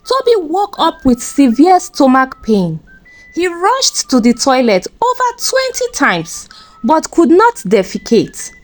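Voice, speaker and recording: A woman narrating a scripted folktale in a dramatic, expressive storytelling manner. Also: high voice.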